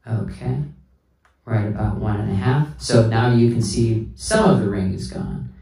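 Low, gravelly man, roomy distant sound. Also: warm tone. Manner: unhurried, talks through each step while working. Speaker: instructor, sound engineer. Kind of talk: casual hands-on tutorial talk. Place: indoor room with sound system.

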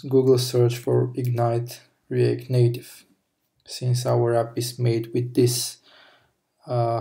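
A man talking through a coding tutorial over a screen recording, explaining step by step with pauses and self-corrections. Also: medium pitch, vocal fry, warm tone. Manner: slow, halting explanation with fillers and self-corrections. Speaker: programming tutor.

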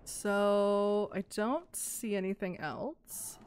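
A young woman casually commentating her Minecraft let's play, excitable and gushing over cute animals, a little hoarse. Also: medium pitch, very slow. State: slightly hoarse. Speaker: gaming YouTuber.

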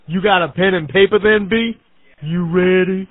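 Male actor as scolding court official, husky, high-pitched, confrontational, informal, prank-call recording, thin telephone sound. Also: fairly even intonation, moderate pace, dry recording. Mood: irritated, pushy. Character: pushy, scolding small-claims court official, man. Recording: tinny phone line.

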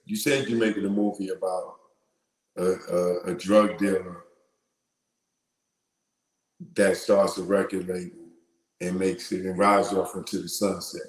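A man's voice: deep voice, moderate pace. A middle-aged man with a big voice, hosting a casual live commentary show, talking through an earphone mic in a small room. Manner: animated, slangy, acts out quoted voices. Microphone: wired earphone mic. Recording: echoey room.

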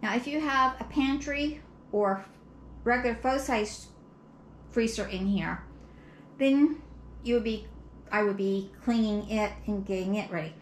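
A woman's voice: on the high side, unhurried, long pauses. A middle-aged woman explains things plainly, step by step, in a casual home vlog, recorded by a camera a few metres away in a kitchen. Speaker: home cleaning vlogger. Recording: roomy echo, clear background noise.

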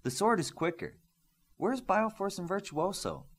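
A man voicing a curious, keen young female superhero in an audiobook, with an animated, questioning manner. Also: high-pitched, unhurried, husky, pitched above the performer's own voice, full character voice. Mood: keen, explaining.

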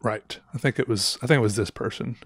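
A man's voice: mid-range voice, fast, fairly bright tone, breathy edge, no room sound, studio quality. A man in his thirties with a slightly sore throat, chatting casually and rambling as he reviews viewer art on a headset mic in a small room. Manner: rambling, self-interrupting, chatty. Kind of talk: casual livestream commentary. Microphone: headset with boom mic.

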